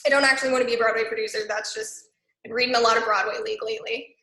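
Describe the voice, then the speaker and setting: A woman's voice: on the high side, fairly even intonation, rapid-fire, bright, forceful tone, reverberant, low-quality recording. A young woman and arts program director who talks casually with many fillers. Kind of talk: casual online talk.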